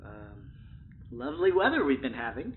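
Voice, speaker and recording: A man's voice: medium pitch, huge pitch swings, moderate pace. A man playing a polite, awkwardly chatty possible AI in an audio drama, speaking carefully through a speaker filter. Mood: friendly, awkward. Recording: thin phone-like band, faint noise.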